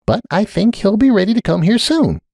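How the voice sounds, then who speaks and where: A man's voice: high-pitched, sing-song, fairly quick, gravelly, darkish tone, dry recording, studio quality, voice pushed up, full character voice. A man voicing a weary, anxious young male pony wizard who talks hesitantly and placatingly in a story reading. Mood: urgent, placating.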